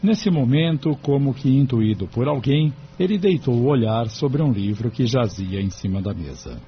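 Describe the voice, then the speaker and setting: A man narrates an audio drama from a script in a medium-pitched voice. The recording is dull, with faint noise behind it. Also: animated, normal speed.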